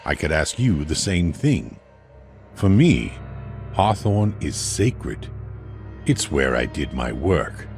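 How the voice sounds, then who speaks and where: A man's voice: extremely low pitch, very animated, slow, gravelly, darkish tone, soft background music, full character voice. A man playing a bitter, pious older artist and former teacher in a brooding, theatrical dramatic monologue. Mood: bitter, defiant.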